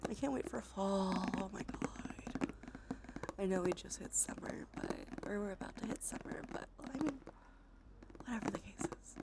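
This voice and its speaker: A woman's voice: mid-range voice, normal speed. Whispering, slightly stuffy-nosed ASMR woman. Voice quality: soft, breathy whisper. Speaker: livestream host. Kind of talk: whispered ASMR, casual chat with viewers. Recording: background music under close whispering. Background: music.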